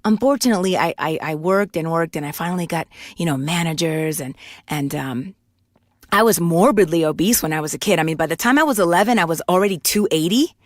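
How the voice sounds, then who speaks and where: A woman's voice: fairly low pitch, brisk, dry recording, studio quality. A woman in her forties from Puerto Rico, telling her life story candidly in a casual interview, with many restarts. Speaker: guest voice actor and singer.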